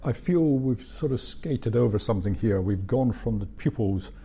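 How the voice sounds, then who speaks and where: A man's voice: mid-range voice, lively intonation, normal speed, dark tone, a little hiss or hum. A man interviewing a guest, asking brief, probing questions. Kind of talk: conversational interview.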